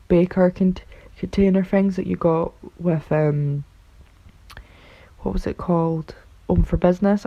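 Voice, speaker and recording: A woman with a raspy voice from being ill, rambling through casual voice-over commentary on a game build, full of fillers and unsure asides. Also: on the low side. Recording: dull lo-fi recording.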